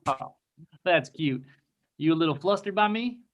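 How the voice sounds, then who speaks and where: Flirty, courteous cowboy played by a man, Southern US accent, swinging expressive pitch, sitcom read on video call. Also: normal speed, natural voice. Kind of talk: table read of comedy script. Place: indoor room on a video call.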